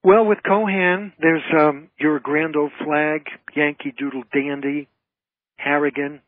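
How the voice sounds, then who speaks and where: A man's voice: a little high, fairly even intonation, a little slow, no echo. A man speaking as an interview guest, an entertainer who makes his points emphatically in orderly phrases. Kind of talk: conversational radio interview. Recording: narrow, tinny phone line.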